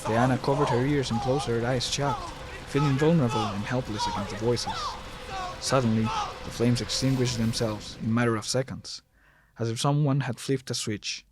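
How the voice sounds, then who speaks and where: A man's voice: medium pitch, fairly even intonation, normal speed, poor audio. A man delivering scripted, dramatic narration for a horror audio drama, close on the mic.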